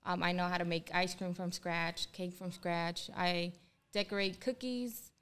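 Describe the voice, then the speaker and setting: A young woman from Ohio, raised speaking Spanish, talks about her work as an army culinary instructor in a podcast studio, pausing often with "um". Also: on the low side, fairly even intonation, a bit bright, smooth. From Ohio, USA. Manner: hesitant, many "um"s. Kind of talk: casual interview. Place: small studio room. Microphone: desk mic on boom, headphones.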